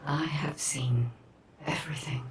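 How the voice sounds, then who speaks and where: An actress playing a mysterious, solemn prophetess in a video game. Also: female voice, low-pitched, steady tone, unhurried. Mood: solemn, ominous. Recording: echoey, distant, faint noise.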